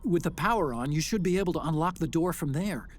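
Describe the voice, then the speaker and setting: A fairly high-pitched American male tech expert from a video game, played by an actor, chatty and helpful. He explains things in a friendly way with a light teasing edge, over some background noise. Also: animated, quick pace, natural voice. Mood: helpful, explaining.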